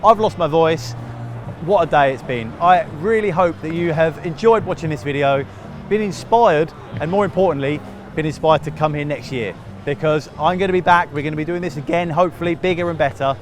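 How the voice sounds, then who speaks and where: A man's voice: on the high side, fairly quick, faint music behind. A man hosting a vlog at an outdoor event, chatting casually and encouragingly with craftspeople and finishing their sentences. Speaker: vlogger and event workshop host. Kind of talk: casual on-site interviews and vlog talk. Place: outdoors at a busy motor racing event. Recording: outdoor crowd noise. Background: crowd chatter.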